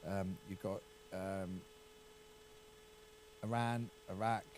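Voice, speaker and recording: A middle-aged English man with an English accent, a county councillor talking through a political discussion in a halting way, repeating words and hedging. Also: deep voice, fairly even intonation, a little mellow, slight background noise.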